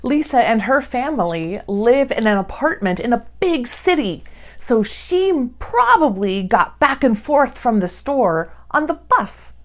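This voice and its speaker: A woman hosting a read-along, speaking to young children in simple, clearly phrased storytime style. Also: a little high, sing-song, measured pace.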